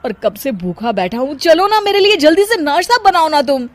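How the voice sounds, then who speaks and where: Woman putting on a high-pitched irritable young husband. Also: sing-song, moderate pace, no room sound. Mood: irritated. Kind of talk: Hindi cartoon story acting.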